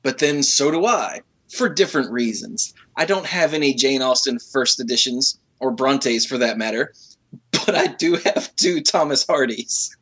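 Man with a bright, husky voice. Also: mid-range voice. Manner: halting reading, stumbling over words. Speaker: podcast co-host reading aloud. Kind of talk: comic dramatic reading.

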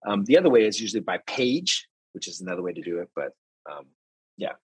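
Guest spot: low, husky-voiced man, patient explainer walking through his job, dry close mic. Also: very animated, quick pace, dry recording, rough recording. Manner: explains step by step with examples, many restarts. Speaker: guest, translator and interpreter. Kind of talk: casual interview talk.

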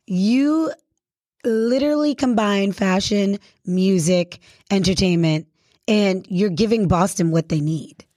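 A woman's voice: medium pitch, slow, dark tone, no room sound, studio quality. A woman hosting a radio interview in a casual, slangy, celebratory style, effusive and admiring in an informal way. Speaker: radio show host.

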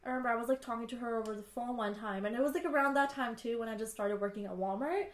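A young woman with a slightly high voice chats casually as a podcast co-host. She shares personal stories in short, halting bursts, often hedging as she goes. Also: roomy sound. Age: young adult. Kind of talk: casual chat, personal anecdotes.

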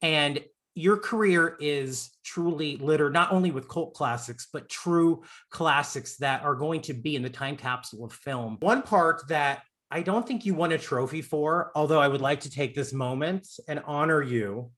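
A man's voice: on the high side, normal speed, few pauses, a bit bright. A man around forty from the American South, hosting a casual celebrity interview in an effusive, campy, joking way. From born in Long Island, raised in Florida. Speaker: interviewer host.

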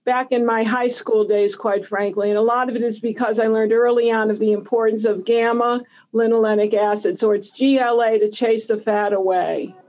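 A woman in her seventies speaks as an expert guest on health. Her voice sits a little high, and she explains things in an emphatic, instructive way. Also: fairly even intonation, normal speed, few pauses, a little mellow, rough recording. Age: 70s. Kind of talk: explanatory interview answers.

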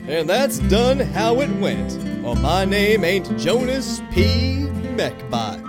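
A folksy storyteller played by a man drawls out a tall tale in a rather high, very animated voice. The telling is colloquial and emphatic, with country guitar clearly behind it. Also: slow, full character voice. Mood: folksy, relishing. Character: folksy robot storyteller spinning tall tales. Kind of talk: Southern-flavored folk tale narration.

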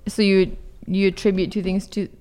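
A woman's voice: medium pitch, flat delivery, mellow. A woman co-hosting a podcast in casual chat, teasing and chiming in with short remarks. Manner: teasing, quick to laugh and chime in. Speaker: podcast co-host.

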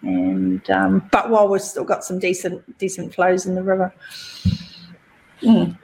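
A woman with a New Zealand accent, a podcast guest telling stories in a relaxed, chatty way. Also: mid-range voice, unhurried, gravelly, low-quality recording. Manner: chatty, relaxed storytelling with fillers. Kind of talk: casual interview chat.

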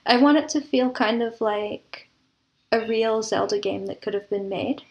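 Female interview guest with a slightly high voice, a creative type chatting informally and conversationally. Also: unhurried, muffled recording. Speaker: interview guest, composer and audio drama producer. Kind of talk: casual interview chat.

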